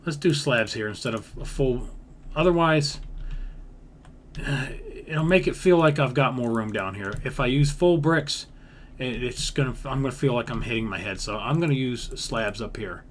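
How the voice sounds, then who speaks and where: A man's voice: mid-range voice, moderate pace. A man giving casual commentary as he builds in a video game, thinking aloud, repeating himself and using folksy grammar. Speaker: gaming video creator. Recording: background noise with keyboard typing.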